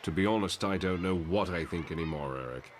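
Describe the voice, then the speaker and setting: Male actor as weary idealist professor, very low pitch, measured brooding monologue, some background noise. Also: close to an everyday voice. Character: disillusioned male mutant professor, weary, self-doubting idealist. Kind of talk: motion comic voice acting.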